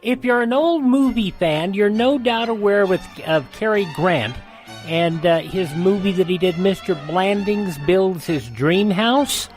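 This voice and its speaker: Male radio host over soft music. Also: high-pitched, a little slow. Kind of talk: scripted hosting of classic radio show.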